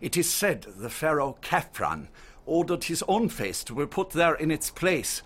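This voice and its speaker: Courteous German archaeologist, animated delivery. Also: male voice, fairly high pitch, a little slow, breathy edge, heavily acted. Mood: reverent, explanatory. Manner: formal, gentlemanly storyteller. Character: courteous, learned German archaeologist showing visitors the Sphinx. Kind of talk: radio drama acting.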